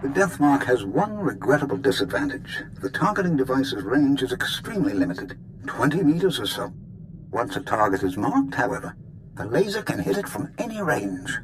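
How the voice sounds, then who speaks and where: An actor playing a precise, keen weapons expert explaining technical matters in a video game. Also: male voice, medium pitch, very animated, moderate pace, noisy background, close to an everyday voice. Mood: matter-of-fact. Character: precise, enthusiastic male weapons expert explaining his device.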